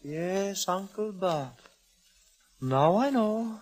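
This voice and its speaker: Slow, portentous fortune-teller madame. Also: female voice, medium pitch, animated, darkish tone, rough recording. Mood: solemn, trancelike. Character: solemn theatrical fortune-teller madame leading a seance. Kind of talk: 1940s radio acting. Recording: old radio recording with music.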